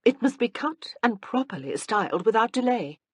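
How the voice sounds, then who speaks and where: A woman voicing a fastidious, disapproving aunt. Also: fairly high pitch, very animated, husky, dry recording, pitched above the performer's own voice. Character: critical, fastidious aristocratic aunt.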